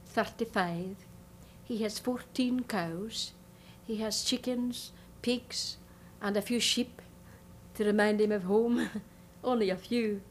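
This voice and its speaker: A woman's voice: medium pitch, slow pace, a little hiss or hum, lightly acted. A woman playing a chatty, hopeful young Scottish immigrant bride-to-be with a Scottish accent, in a one-woman monologue. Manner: earnest, chatty, rambling.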